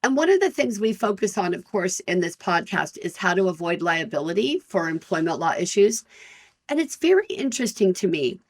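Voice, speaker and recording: A woman in her fifties, an employment lawyer hosting her own podcast, talking frankly and directly to listeners in a loud, energetic voice. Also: on the low side, a little fast. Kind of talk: solo podcast talk.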